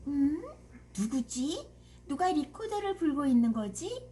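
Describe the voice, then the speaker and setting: A woman's voice: high-pitched, sing-song, unhurried, warm tone, roomy sound, voice pushed up. A woman voicing shy, curious little forest animal children in a storybook, with a childlike, wondering delivery. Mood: puzzled, curious.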